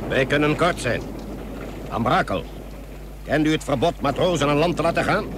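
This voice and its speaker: A man's voice: fairly high pitch, expressive, moderate pace, lightly acted. A man playing a stern, curt state official in a historical radio drama, clipped and authoritative. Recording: noisy radio recording with outdoor ambience.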